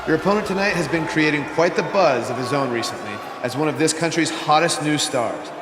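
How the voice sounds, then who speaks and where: A man's voice: on the high side, brisk, crowd noise, lightly acted. An actor playing an earnest, assertive male wrestling show manager in a video game cutscene. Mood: upbeat. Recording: echoey hall, background noise.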